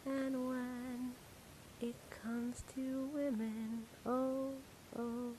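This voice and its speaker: A woman's voice: high voice, flat delivery, extremely slow, dark tone, a little hiss or hum, voice pushed up, low-quality recording. A woman doing a whispery, childlike sing-song. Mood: dreamy.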